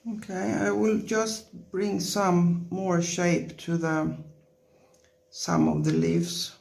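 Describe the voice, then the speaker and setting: A woman with a non-native English accent giving a relaxed watercolour lesson in short, gently encouraging phrases while she works. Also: fairly low pitch, slow, creaky. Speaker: watercolour tutorial instructor. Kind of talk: relaxed painting tutorial, talking while working.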